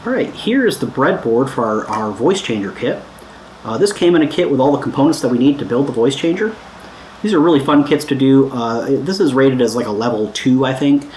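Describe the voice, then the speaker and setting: A man's voice: medium pitch, expressive, fairly quick, a little mellow. Echoey, informal man giving a hands-on tutorial. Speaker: host of a DIY electronics repair tutorial. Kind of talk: casual hands-on tutorial talk. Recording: echoey room, some background noise.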